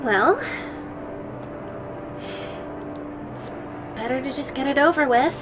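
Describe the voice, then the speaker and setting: Woman playing a high-voiced, possessive giantess girlfriend. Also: lightly acted, rough recording. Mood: coldly amused. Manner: coy, drawn-out, giggly delivery. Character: obsessive, sadistic giantess girlfriend, sweetly possessive. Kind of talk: ASMR audio roleplay. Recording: music under the voice.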